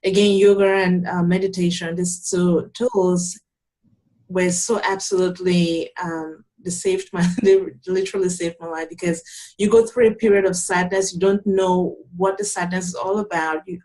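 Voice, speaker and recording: A young adult woman from Cameroon, a yoga teacher calmly and earnestly explaining her practice in an interview. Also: on the low side, moderate pace, rough recording. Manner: calm, earnest, explanatory with frequent restarts. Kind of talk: interview answers, conversational.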